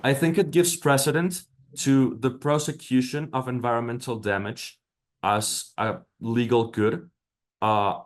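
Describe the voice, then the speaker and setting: A man presenter answering questions at an academic workshop, hesitant with many fillers and restarts. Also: on the low side.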